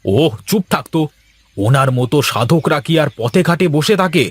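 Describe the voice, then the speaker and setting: Man voicing a brisk, impatient cartoon sidekick. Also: fairly high pitch, expressive, breathy edge, no echo. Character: brisk, bossy friend guiding through the jungle. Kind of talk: Bengali fairy-tale cartoon voice acting.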